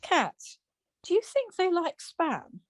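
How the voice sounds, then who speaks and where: A woman's voice: very high voice, wildly swooping pitch, takes it slowly, no echo, clean studio sound, full character voice. A woman acting a scatty, easily exasperated wife in a domestic radio comedy. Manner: flustered, snippy.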